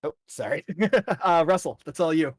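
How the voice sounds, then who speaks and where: A man's voice: on the high side, animated, normal speed, no echo, clean studio sound. A man narrating a tabletop game as game master in a casual, chatty, colorful and informal way.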